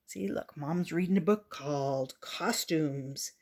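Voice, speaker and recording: Grandma lady reading a kids book out loud, real slow, expressive, chats to the kids. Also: deep voice. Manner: expressive, addresses young listeners. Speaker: storytelling grandmother. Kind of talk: children's picture-book read-aloud with asides.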